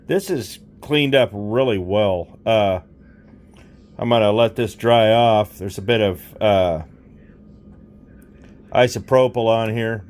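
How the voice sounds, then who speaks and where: A man hosting a repair vlog, talking casually and thinking aloud in an unhurried way as he demonstrates hands-on work. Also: fairly low pitch, a little mellow. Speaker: host of a radio repair vlog.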